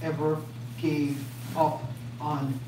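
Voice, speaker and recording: A woman's voice: deep voice, slow pace. Woman hosting a community event, says things over and over for emphasis, super echoey room, far from the mic, noisy. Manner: warm, repetitive, emphatic, animated. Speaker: event host. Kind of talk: live event speech to a crowd. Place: community event space indoors.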